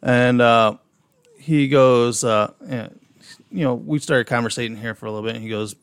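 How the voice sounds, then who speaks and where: A man with an Upper Midwest American accent chats as a podcast co-host, telling stories and reading out listener messages. His voice sits at a middle pitch. The sound is dry and close. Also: moderate pace, clean tone, no room sound. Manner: storytelling, reads out messages, profane. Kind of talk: casual podcast chat.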